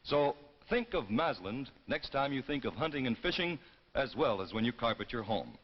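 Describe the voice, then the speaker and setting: An American man announcing a 1950s anthology broadcast and reading the sponsor's pitch in a formal, polished, salesmanlike way. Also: sing-song, normal speed, breathy edge. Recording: muffled 1950s broadcast with music behind.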